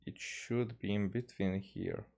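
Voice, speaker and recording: A man giving casual, halting gameplay commentary as a streamer, thinking aloud as he plays. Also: fairly low pitch, steady tone, gravelly, darkish tone. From Hungary. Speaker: video game streamer.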